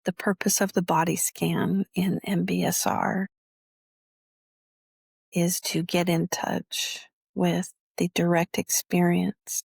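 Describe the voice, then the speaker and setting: A woman teaching guided meditation in a measured, instructional way, leaving long pauses between phrases. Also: on the low side, little pitch movement, creaky, darkish tone, breathy edge, no room sound.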